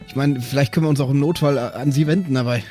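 A man with a mid-pitched voice chats casually at a tabletop game. He thinks aloud in loose, colloquial phrases, a little hesitant, with quiet music underneath. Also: racing. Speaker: player in a pen-and-paper role-playing show. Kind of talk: improvised casual chat.

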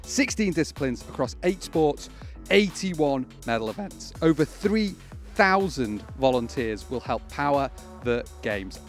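A man presenting a scripted sports podcast in a brisk, upbeat way, over a music bed. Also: mid-range voice, animated. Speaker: podcast host.

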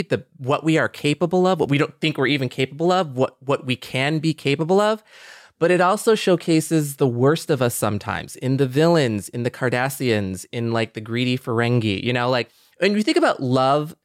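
A man's voice: medium pitch, no echo. Male podcast co-host, mid-range voice, thoughtful and earnest, thinking aloud in a very dry space. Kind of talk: reflective podcast conversation.

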